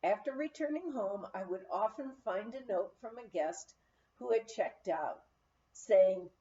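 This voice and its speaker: A woman reading a memoir aloud as an audiobook narrator, telling it in an expressive storytelling manner. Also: mid-range voice, a little slow, dull audio. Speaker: audiobook reader.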